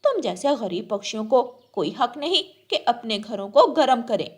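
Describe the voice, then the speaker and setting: A woman's voice: a little high, sing-song, moderate pace. A woman voicing a spiteful rich female crow in a cartoon, haughty and taunting. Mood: defiant.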